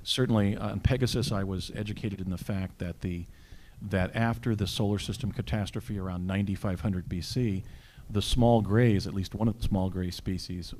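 A man on a panel answering an audience question in a measured, explanatory way. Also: deep voice, darkish tone, a little hiss or hum.

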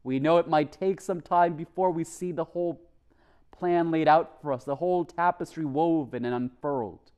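Higher-voiced male priest preaching earnestly. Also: darkish tone, clear, resonant tone. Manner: deliberate, earnest, with pauses for emphasis. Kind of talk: homily, measured preaching. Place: church chapel, at a lectern. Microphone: lectern microphone.

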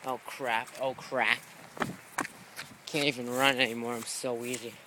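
A man's voice: mid-range voice, level tone, a little slow, background noise. A young man making a playful home vlog, acting out a video game with dramatic exclamations and claims of feeling dizzy. He films himself with a handheld phone, moving through a backyard and a house. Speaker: vlogger. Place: backyard and house, outdoors and indoors. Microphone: handheld phone camera.

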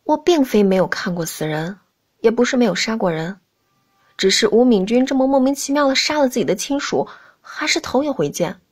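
A woman narrates an audiobook in a scripted, first-person storytelling style. Her voice sits a little higher than average for a woman. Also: animated, fairly quick, darkish tone.

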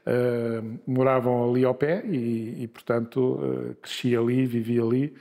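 A man in his sixties from Lisbon, telling his life story in a relaxed interview, pausing often with filler sounds. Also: mid-range voice, creaky. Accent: Lisbon Portuguese. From Portugal. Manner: unhurried, hesitant with many filler sounds and restarts. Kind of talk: conversational interview. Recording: long hall reverb.

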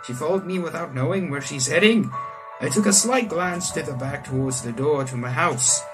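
A man's voice: a little high, normal speed, over music. A young man from South Africa reading a visual novel aloud with casual, rambling commentary and joking asides. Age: young adult. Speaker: let's player. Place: small room indoors.

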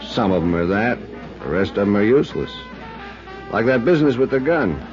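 An actor playing a world-weary, bitter man who speaks slowly in a 1950s radio drama, on an old recording. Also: on the low side, very animated. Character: bitter lovesick man, cynical and brooding. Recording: lo-fi vintage radio with music.

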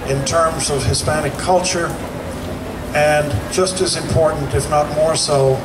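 A man's voice: on the high side, roomy sound, distant mic, very noisy. Man giving a formal award speech outdoors. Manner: formal and ceremonial. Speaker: presenter of an award.

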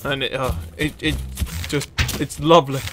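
A man giving casual, excitable gameplay commentary as a gaming video creator. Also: mid-range voice, moderate pace. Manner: excitable exclamations, sweary banter. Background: game music.

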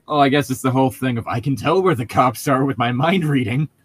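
Guy co-hosting, sarcastic and sweary, normal pitch, joking around reading a book out loud. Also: brisk, slightly rough. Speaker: co-host reading a book aloud and mocking it. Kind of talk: casual comedic chat and mock read-aloud.

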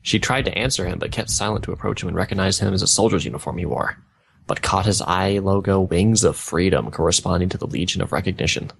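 A man's voice: deep voice, fast talker, creaky, a little hiss or hum. A man reading fan fiction aloud, stumbling over odd words and breaking off with casual joking comments. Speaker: reader of fan fiction on a gaming video.

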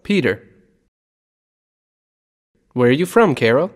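Man reading dialogue, lively intonation. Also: medium pitch, slow, in a large hall. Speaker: dialogue reader. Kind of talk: scripted textbook dialogue reading.

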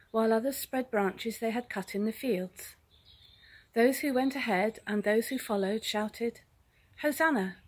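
A woman's voice: fairly high pitch, a little mellow, breathy edge. An older woman reads a Bible passage aloud. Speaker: Bible reader. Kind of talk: scripture reading aloud. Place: outdoors in a garden. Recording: faint outdoor noise with birdsong. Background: birdsong.

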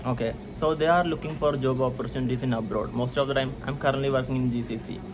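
Young male vlog host, mid-pitched, polite and a little halting, close camera in an indoor lounge. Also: fairly even intonation, low-quality recording. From India. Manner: polite, slightly halting questions with fillers. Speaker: vlog host interviewing a colleague. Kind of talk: casual interview questions.

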